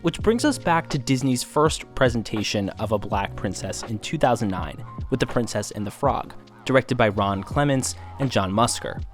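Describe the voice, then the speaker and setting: A man reading scripted documentary narration in a measured, formal, informative manner. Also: mid-range voice, animated. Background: faint music.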